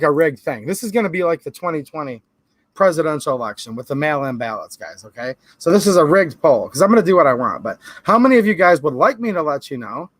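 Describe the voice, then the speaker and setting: A man's voice: on the high side, fairly bright tone, dry recording. A man with a Michigan accent hosting a firearms channel, talking casually to camera in a chatty, sarcastic way and often calling his viewers "guys.". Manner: holds items up to the camera while talking.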